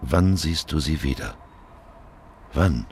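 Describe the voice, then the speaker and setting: A man playing a grave, knowing, fatalistic figure who speaks in dark poetry in a radio drama. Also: slow, slight background noise, exaggerated voice. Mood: brooding, poetic. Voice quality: very deep, gravelly. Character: dark, fatalistic male narrator taunting a lost lover. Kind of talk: literary radio drama acting.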